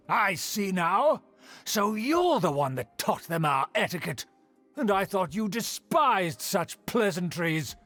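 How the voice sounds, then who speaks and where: A man's voice: high-pitched, a bit bright. A man voicing a haughty, scheming noble uncle in a video game, lecturing pompously and theatrically with proud indignation. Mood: sneering.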